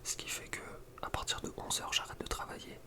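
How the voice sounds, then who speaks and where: Whispering young man, ASMR style. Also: brisk. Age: young adult. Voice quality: soft, breathy unvoiced whisper. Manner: informal, slangy, rambling. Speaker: video creator. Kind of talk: whispered ASMR chat about personal routine.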